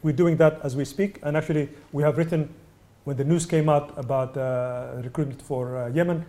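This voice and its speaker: A man from Sudan, a UNICEF representative, answering press questions in a measured way with many hesitations. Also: a little high, fairly even intonation, warm tone. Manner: measured, many ums and uhs, restarts. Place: indoor venue.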